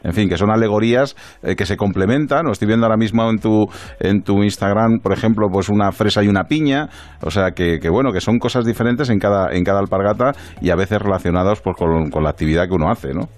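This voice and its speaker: Fast-talking Spanish male radio host. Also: fairly low pitch, a little mellow. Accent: Spanish, from Spain. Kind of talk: conversational radio interview.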